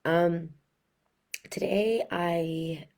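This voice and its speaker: A hoarse woman in her thirties who is losing her voice, a pediatric sleep consultant giving casual, chatty parenting tips to camera with a raspy sound. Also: fairly low pitch, fairly even intonation, extremely slow, darkish tone. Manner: chatty and frank, many asides.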